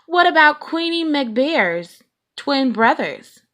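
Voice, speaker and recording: Woman voicing a curious little girl bear, higher-pitched. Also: big pitch swings, slow, fairly bright tone, heavily acted. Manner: wondering, earnest. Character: earnest, curious young girl bear cub.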